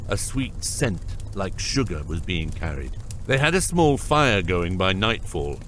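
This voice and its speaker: A man's voice: sits low, fairly bright tone, slight background noise. A man reading scripted narration for a fantasy audiobook.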